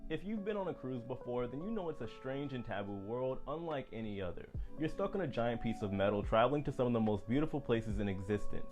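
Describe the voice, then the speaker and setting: A man's voice: medium pitch, animated, fast talker, hardly any pauses, over music. A man, a former cruise ship entertainer, narrating a scripted video in a dramatic storytelling way.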